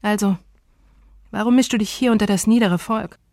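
A woman voicing a sharp-tongued, defensive young woman who answers with curt, sarcastic retorts. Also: mid-range voice, normal speed, dry recording, clean studio sound, natural voice.

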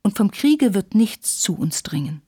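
A woman's voice: mid-range voice, big pitch swings, measured pace, warm tone, husky, no room sound, studio quality. Woman acting a plaintive, overwrought young war widow. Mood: insistent. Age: young adult. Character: young war widow, self-pitying, passionate, fragile, dramatic.

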